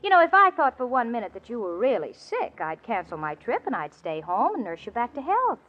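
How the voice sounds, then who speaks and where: A sensible young American woman, high-voiced and very animated, brisk and sarcastic with her foolish roommate. It is a muffled, noisy 1940s radio comedy recording. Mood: exasperated.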